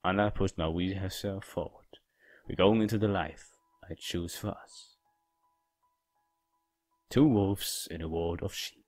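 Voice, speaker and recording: A man reading a visual novel as a cold, calm young male killer, quiet, dramatic and subdued. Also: deep voice, a little slow, long pauses, no echo, soft background music. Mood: quiet, brooding.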